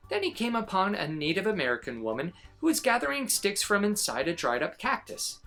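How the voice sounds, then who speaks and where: A woman reading a children's picture book aloud as an expressive storyteller. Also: lowish voice.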